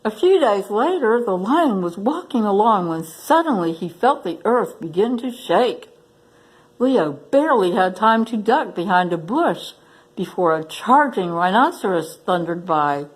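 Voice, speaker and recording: A woman's voice: mid-range voice, expressive, measured pace, husky, low-quality recording. A woman reading a children's storybook aloud as a library story reader, expressively addressing young listeners.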